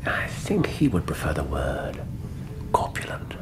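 An actor playing a keen, self-assured English gentleman detective in a radio drama, speaking precisely. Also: rumbling low, wildly swooping pitch, measured pace, whispered, heavily acted. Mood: dry. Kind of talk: BBC radio drama acting.

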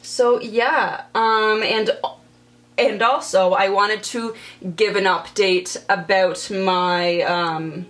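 A woman's voice: medium pitch, fairly even intonation, very slow, fairly bright tone. A young woman vlogger chatting casually while doing her makeup in her bedroom, stuttering with repeated sounds and blocks. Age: young adult. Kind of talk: casual get-ready-with-me chat. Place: bedroom, indoors.

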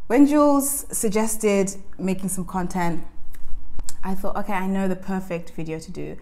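A young woman hosting a casual chat in a bright living room, talking into a lapel mic and laughing easily. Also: mid-range voice, normal speed. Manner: chatty, laughs often. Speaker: host.

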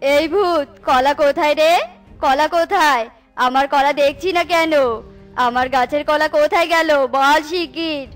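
A stern eagle master played by a woman with a high-pitched voice. The manner is bossy and scolding, in cartoon style, with music underneath. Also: measured pace. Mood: angry. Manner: scolding, commanding. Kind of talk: children's cartoon dubbing.

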